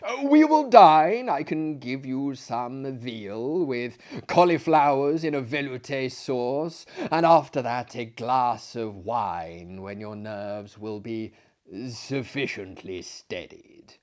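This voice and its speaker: Male reader as self-important older asylum host, theatrical, emphatic, unhurried, swooping intonation, storybook tale. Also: mid-range voice, animated, darkish tone. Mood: courteous. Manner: emphatic, pompous, lecturing. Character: self-assured older asylum director, genial and pompous.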